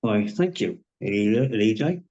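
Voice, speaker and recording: Actor as wry, low-voiced man, dull audio. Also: lively intonation, a little slow, mellow. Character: wry, shaken man with sardonic gallows humour. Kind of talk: live screenplay table read acting.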